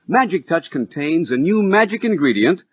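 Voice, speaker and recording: A man's voice: on the high side, very animated, normal speed, breathy edge, narrow, tinny audio. A man announcing a vintage radio cosmetics commercial, emphatic and persuasive, on an old recording.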